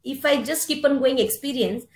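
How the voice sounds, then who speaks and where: A middle-aged woman with an Indian accent giving an instructive talk in short, deliberate, emphatic phrases, explaining terms as she goes. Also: sits high. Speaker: speaker giving a yoga philosophy talk. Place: indoor room, online meeting. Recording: roomy, echoing virtual meeting audio.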